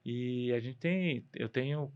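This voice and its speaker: A man with a Brazilian Portuguese accent speaks as a doctor guesting on a podcast, explaining things in a conversational way. His voice is medium in pitch, and the recording is a little dull. He often sets up a question and then answers it. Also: slow, smooth.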